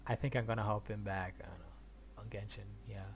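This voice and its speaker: Halting male game streamer, faint background noise. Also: fairly low pitch, fairly even intonation. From Argentina. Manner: halting, repeats words, thinks aloud. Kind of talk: casual rambling stream chat about games.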